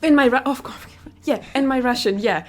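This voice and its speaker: A woman's voice: sits high, brisk, soft background music. A young woman from Greece, a language teacher, talking casually in an interview over a video call. She is chatty and modest, and laughs easily. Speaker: guest, Greek teacher.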